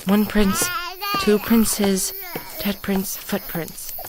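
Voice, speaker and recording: A woman's voice: mid-range voice, flat delivery, drawn-out words, a little mellow. A woman playing an addled, babbling psychic mother in an audio drama, rambling cryptically in a theatrical way. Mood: distracted, mournful. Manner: rambling non sequiturs, theatrical delivery. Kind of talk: stage-style audio drama acting.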